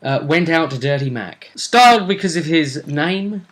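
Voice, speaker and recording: A man's voice: on the high side, lively intonation, normal speed, fairly bright tone. Man hosting a casual recap. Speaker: vidcast host. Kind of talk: casual recap commentary on a tabletop role-play tournament.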